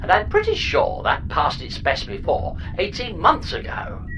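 A woman's voice: mid-range voice, wildly swooping pitch, a little slow, background noise. Woman voicing a swooping, theatrical robot space pod. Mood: melodramatic. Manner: whimsical, flippant, hammy relish. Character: chirpy, theatrical, scatterbrained talking space pod computer. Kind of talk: scripted audio sci-fi comedy acting.

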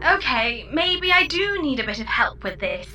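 A woman's voice: high-pitched, very animated, moderate pace, bright, forceful tone, soft background music, full character voice. A woman playing a perky, cheeky spaceship computer in a comedy sci-fi audio drama, theatrical and fussy. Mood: flustered, fussy. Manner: theatrical, fussy, self-amused.

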